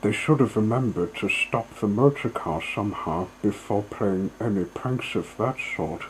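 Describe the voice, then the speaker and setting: A man's voice: lowish voice, moderate pace, a little hiss or hum, poor audio. A man narrating a storybook as a storyteller, reading from a scripted text.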